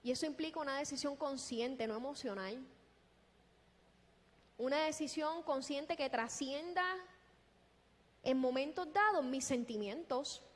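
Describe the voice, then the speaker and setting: A woman preaching a sermon in a deliberate, emphatic way, posing rhetorical questions. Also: high voice. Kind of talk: sermon with scripture exposition. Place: large echoing church hall. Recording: long reverberant hall sound.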